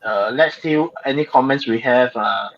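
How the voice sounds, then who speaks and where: A man's voice: medium pitch, moderate pace, vocal fry, fairly bright tone, dull audio. A man hosting a casual livestream chat, talking in an easy, rambling way with many fillers. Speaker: host.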